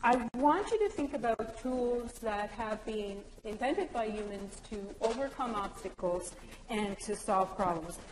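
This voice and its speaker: A woman's voice: a little high, animated, a little slow, slight background noise. A woman from Newfoundland giving a conference presentation, explaining ideas and slipping in anecdotes. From Newfoundland, Canada. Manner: explanatory, joking aside with anecdotes. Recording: echoey hall.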